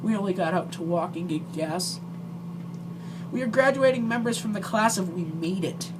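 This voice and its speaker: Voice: medium pitch, animated, normal speed. Earnest vlogger, noticeably noisy recording. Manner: earnest, emotional, hesitant. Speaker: amateur video creator. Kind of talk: earnest direct-to-viewer vlog talk.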